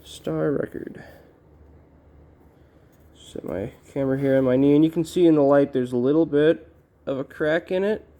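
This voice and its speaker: A man giving casual, halting unboxing commentary as a collector, musing to himself in a low-key way. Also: warm tone. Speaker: record collector presenting an unboxing.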